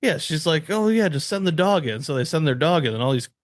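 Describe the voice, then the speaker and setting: A man's voice: fairly high pitch, animated, brisk, no room sound, studio quality. An American man chatting casually on a podcast, telling stories with blunt, swearing humor. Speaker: podcast host. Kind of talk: casual chat among friends.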